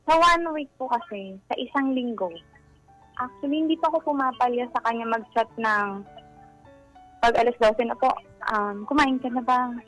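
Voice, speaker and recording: A woman's voice: a little high, normal speed, long pauses, a bit bright, soft background music, poor audio. A woman with a Filipino accent speaking politely as a caller, confiding about her personal life. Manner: polite and deferential in address. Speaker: caller seeking advice.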